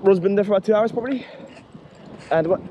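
A young man in his twenties, vlogging outdoors by a lake while fishing, talking excitedly and repeating himself as he reels in carp. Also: high voice, a little hiss or hum. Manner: excitable, repeats himself, fast exclamations while reeling in fish. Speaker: angler vlogger.

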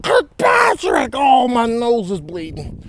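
An American man voicing brash, wisecracking animals in comic video voiceovers, with slangy exclamations and drawn-out words. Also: extremely high pitch, huge pitch swings, no echo, shouting, exaggerated voice. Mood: frantic, complaining.

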